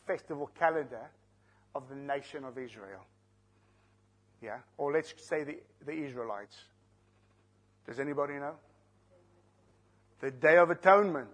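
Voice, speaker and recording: A man, an Afrikaans speaker, teaching a sermon verse by verse, slowing down to stress key words and putting questions to the congregation. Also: on the high side. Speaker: preacher. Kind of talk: expository Bible teaching.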